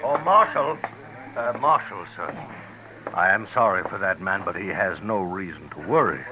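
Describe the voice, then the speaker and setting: An actor playing a smooth-talking gambler who calls himself a gentleman, overly polite and always saying sir, in a 1950s radio drama. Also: sits low, lively intonation, moderate pace, highs cut off. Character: smooth-talking male Southern gambler, self-styled gentleman. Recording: noisy old radio broadcast.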